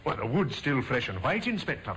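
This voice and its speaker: A man's voice: mid-range voice, sing-song, brisk, fairly bright tone, slight background noise, low-quality recording. An actor playing an eager, deferential male police sergeant in an old radio drama. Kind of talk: 1950s radio drama acting.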